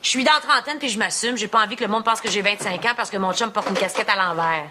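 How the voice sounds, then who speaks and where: A woman's voice: medium pitch, fast, lightly acted. An actress in her thirties with a Québécois accent playing a woman defending her taste in older men, earnest and a bit defensive. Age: 30s. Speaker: sitcom character. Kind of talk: sitcom acting. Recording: TV sitcom audio with noise.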